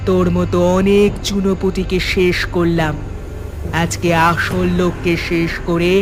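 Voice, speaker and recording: A woman's voice: lowish voice, level tone, measured pace, lightly acted. A woman voicing a menacing, vengeful female jinn in a cartoon ghost story. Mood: menacing, gloating. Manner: threatening, gloating. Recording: loud background music over the voice.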